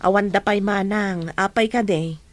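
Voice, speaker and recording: Nagging village mother played by a woman, medium pitch. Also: steady tone, normal speed, no room sound, lightly acted. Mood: defensive. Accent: Ilocano. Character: village mother nagging her student daughters. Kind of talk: Ilocano radio comedy drama acting.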